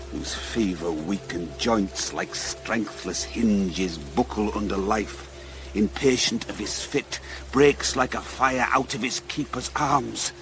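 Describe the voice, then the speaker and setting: An actor playing a grieving, enraged old earl in a Shakespearean radio drama, declaiming verse with passion. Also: male voice, lowish voice, gravelly, full character voice. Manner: declamatory, impassioned, slow verse delivery. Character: grief-stricken, enraged old earl, a sick rebel father. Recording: music behind the voice.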